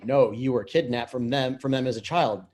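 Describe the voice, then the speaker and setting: A man voicing a creepy, persuasive stranger in a screenplay reading, measured and insistent. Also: mid-range voice, a little mellow, natural voice.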